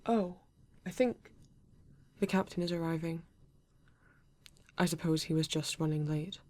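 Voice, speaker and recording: A woman voicing a polite, formal male android detective in an ASMR roleplay, with a British accent and stiff, measured phrasing. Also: deep voice, fairly even intonation, mellow, no echo.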